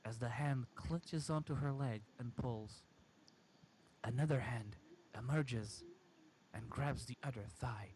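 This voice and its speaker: A man reading a first-person horror story aloud in a halting, dramatic way. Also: medium pitch, a little mellow, slight background noise, rough recording. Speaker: amateur story reader.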